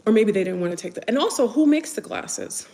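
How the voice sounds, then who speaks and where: A woman's voice: fairly high pitch, expressive, a little fast, creaky, dark tone, big-room sound. A woman whose first language is French, chatting casually and rambling with viewers on a livestream, full of fillers and restarts. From United States. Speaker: livestream host.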